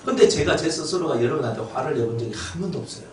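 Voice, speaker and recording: A male pastor with a Gyeongsang Korean accent delivers a lively, joking sermon, his pitch jumping up and down. His voice sits fairly high for a man. It is picked up from a distance in an echoey church hall, with faint noise underneath. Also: very fast. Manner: animated and joking, acts out quotes in dialect. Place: church sanctuary.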